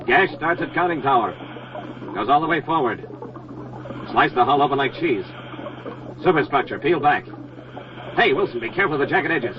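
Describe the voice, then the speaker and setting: Male, 1940s radio drama: actor as commanding Navy diver, terse telegraphic delivery, mid pitch, noisy old recording. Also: expressive, moderate pace, long pauses, highs cut off, close to an everyday voice. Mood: grim, urgent. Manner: clipped, terse telegraphic phrases.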